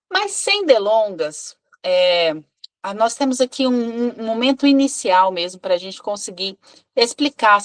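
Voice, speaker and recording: A woman in her thirties from Brazil, an English teacher, talking casually on a live video call with many fillers and restarts. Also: medium pitch. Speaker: English teacher and club co-host.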